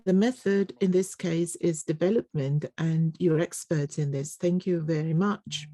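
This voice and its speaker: An interpreter renders a live panel into English, speaking slowly and halting over frequent fillers. The voice is mellow and dark. The sound is close and dry, with no room echo. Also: medium pitch. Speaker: conference interpreter. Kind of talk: simultaneous interpretation of a panel discussion.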